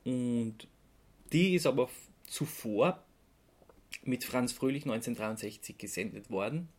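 An Austrian man talks listeners through his research on a casual podcast. His delivery is spontaneous and a little halting, as he feels for the right word. He sounds amused by his material. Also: mid-range voice. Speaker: podcast host presenting research.

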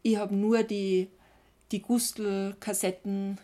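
A woman's voice: medium pitch, little pitch movement, takes it slowly, dark tone. A woman with an Austro-Bavarian accent co-hosting a podcast in a casual, enthusiastic, exclaiming chat. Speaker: podcast co-host.

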